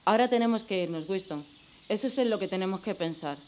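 A woman from playing a pragmatic, cautious rebel in a radio drama, measured and gently urging. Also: mid-range voice, slight background noise, natural voice. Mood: cautious, resigned. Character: pragmatic female rebel, cautious lover and comrade.

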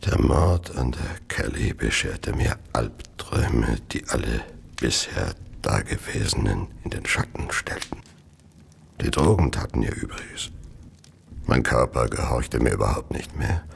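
Very deep, gravelly broken murderer. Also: male voice, animated, slight background noise, full character voice. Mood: suffering. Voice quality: gravelly, whispery. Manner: slow, weary, tormented confession. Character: ailing, remorseful murderer confessing, haunted and broken. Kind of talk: radio drama monologue.

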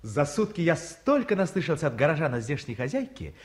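A man's voice: on the high side, very animated, fairly quick. An actor playing a polite, suave young man with formal, courteous 1950s Soviet radio play delivery.

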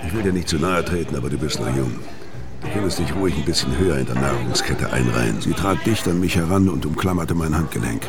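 Very deep-voiced, world-weary hard man. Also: animated, fast. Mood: cold, guarded. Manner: terse, hard-boiled, guarded. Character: gruff world-weary dying male debt collector, hard-boiled. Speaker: narrator and lead actor. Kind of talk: noir crime radio drama, first-person narration and dialogue. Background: music behind the voice.

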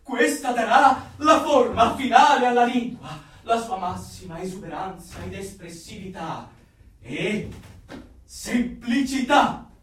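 An actor in a radio drama playing a fanatical, grandiose party intellectual who declaims emphatically and swings into exalted outbursts. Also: male voice, high-pitched, expressive, takes it slowly, fairly bright tone, far from the mic, heavily acted. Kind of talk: theatrical radio drama acting. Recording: very echoey room, off the mic.

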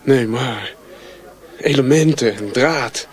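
Radio play part, male actor: eager scavenger, medium-pitched male voice, blunt and urgent. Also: slow, lightly acted. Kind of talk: radio drama acting.

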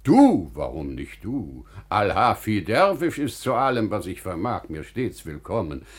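An actor playing a wise, kindly, wry merchant in a classical radio drama, with measured, deliberate theatrical phrasing. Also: male voice, fairly low pitch, huge pitch swings, slightly rough, heavily acted. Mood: wry, cautious.

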